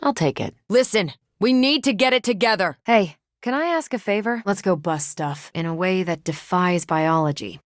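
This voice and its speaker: A woman's voice: medium pitch, lively intonation, moderate pace, hardly any pauses, no echo, natural voice. A woman voicing a confident, wry superheroine soldier in a video game, with a dry, assured manner. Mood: forceful, rallying.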